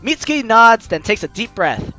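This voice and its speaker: Animated, bright-voiced gaming host. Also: mid-range voice, moderate pace. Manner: animated, playful, slangy. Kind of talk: lively let's play, reading aloud and reacting. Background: game music.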